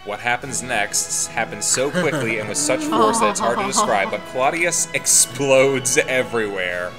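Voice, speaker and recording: A man with an average-pitched voice narrates a story game. He reads with playful drama and slips into casual banter. Music plays clearly behind him. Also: sing-song, normal speed. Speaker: gaming channel player reading the game aloud.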